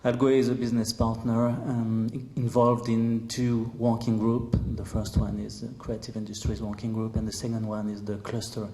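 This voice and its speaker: Man, dark tone, flat, measured, echoey hall. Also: on the low side. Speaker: consultant. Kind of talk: round-table self-introduction.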